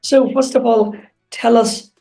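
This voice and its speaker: An interview host speaking slowly and deliberately with fillers, over a video call. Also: mid-range voice, steady tone, gravelly, over music, low-quality recording. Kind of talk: interview hosting.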